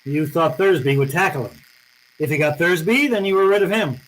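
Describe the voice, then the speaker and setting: Radio drama detective, male actor: tough, cynical, clipped and accusing, slightly high male voice, noisy background. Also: expressive, a little fast, a bit bright. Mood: accusing, hard. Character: hard-boiled male private detective, cynical, unyielding. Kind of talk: old-time radio drama acting.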